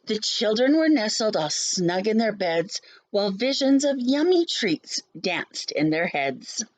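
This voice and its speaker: A woman schoolteacher reading rhyming children's verse aloud with an expressive, sing-song storybook delivery. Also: mid-range voice, poor audio.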